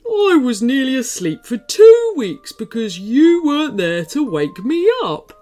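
A dozy male street cat with a slow, heavy drawl, put on by a woman reading a children's story. The voice sits a little high and rises and falls widely. It sounds a touch distant, with soft music underneath. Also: big pitch swings. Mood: drowsy. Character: sleepy lazy male street cat, gentle.

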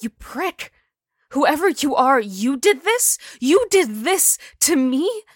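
A woman voicing a young woman's ghost, confused and hostile, who shouts and swears. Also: medium pitch, lively intonation, takes it slowly, no room sound, studio quality. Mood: furious.

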